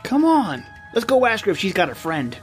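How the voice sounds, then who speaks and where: A man plays a breezy, plain-spoken pal from an old-time radio drama. He talks in folksy slang, full of curiosity, with a very animated, bouncing melody to his voice. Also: sits high, moderate pace, soft background music. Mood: teasing, sly. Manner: folksy, colloquial, inquisitive.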